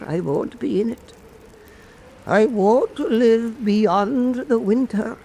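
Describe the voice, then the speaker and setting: An actor playing a frail old Irish island storyteller in a radio drama, speaking in an earnest, folksy, teasing way. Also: male voice, sits high, darkish tone, slight background noise, full character voice, rough recording. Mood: mournful, earnest. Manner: slow, folksy, emphatic.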